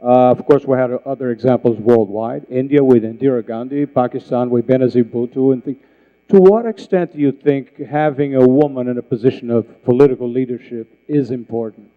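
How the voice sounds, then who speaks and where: A man moderating a literary festival panel, asking measured questions and searching for words. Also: medium pitch, narrow, tinny audio. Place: festival hall stage.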